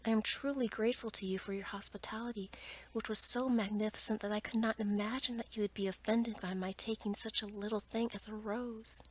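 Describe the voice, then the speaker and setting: A woman voicing a frightened, humble merchant father from a storybook in a breathy whisper, pleading politely and formally. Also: mid-range voice, level tone, normal speed, hardly any pauses, a little hiss or hum, natural voice, rough recording.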